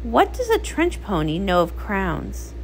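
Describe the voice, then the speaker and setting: A woman's voice: medium pitch, huge pitch swings, a little slow, a little hiss or hum. A woman voicing a haughty, commanding sea pony queen in a comic read-along.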